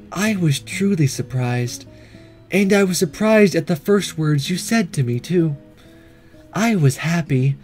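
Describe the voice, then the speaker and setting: Visual novel role: gentle ghost schoolgirl in love male reader, slightly high, tender and apologetic, faint music behind. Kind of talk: visual novel inner monologue read aloud.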